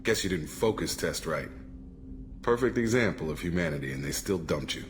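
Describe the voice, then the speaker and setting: A man's voice: deep voice, lively intonation, normal speed, faint music behind. A man playing a serious, principled ex-military operative in a video game, speaking matter-of-factly. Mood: bitter, candid. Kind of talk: video game dialogue acting.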